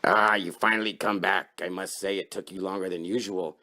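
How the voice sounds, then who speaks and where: Man acting a deep-voiced, sly potion seller. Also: steady tone, fairly quick, sharp tone. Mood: knowing, sly. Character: shady, unhurried male potion seller, coldly mercenary. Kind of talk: melodramatic horror comic dramatization.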